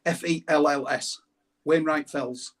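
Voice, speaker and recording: A man's voice: fairly high pitch, fairly even intonation, a little slow. A British man, a guest on a show, explaining things in casual conversation. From United Kingdom.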